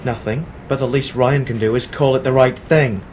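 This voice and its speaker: A man's voice: medium pitch, fairly even intonation, moderate pace, a little mellow, a little hiss or hum, natural voice. A man playing a sarcastic, defiant newspaper reporter from the 1940s in an amateur radio play, dry and sardonic. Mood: indignant.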